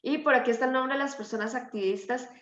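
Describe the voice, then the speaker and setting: A woman's voice: high-pitched, fast, fairly bright tone. A woman with a Costa Rican accent giving an informal explanatory presentation over an online call. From Costa Rica. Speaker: presenter, climate activist. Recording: dull, echoey online call audio.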